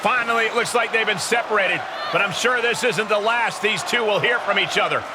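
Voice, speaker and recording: Man playing wrestling announcer, high male voice, excited game commentary, very noisy arena. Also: fairly quick, crowd noise, close to an everyday voice. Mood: animated, concerned.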